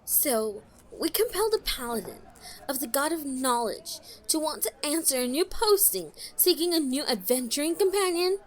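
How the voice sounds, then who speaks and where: Woman acting a high, animated, feisty halfling mage. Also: normal speed, low-quality recording. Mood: skeptical. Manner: sassy, incredulous, outspoken. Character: feisty, blunt, excitable halfling spellcaster. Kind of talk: fantasy audio drama acting.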